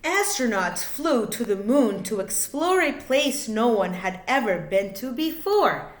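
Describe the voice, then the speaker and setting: A woman reads a children's storybook aloud in a fairly high, very animated voice. She goes phrase by phrase, clearly shaping it for young listeners. The recording has a roomy echo. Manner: deliberate, expressive, phrase-by-phrase delivery for young listeners. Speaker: teacher reading a children's book aloud.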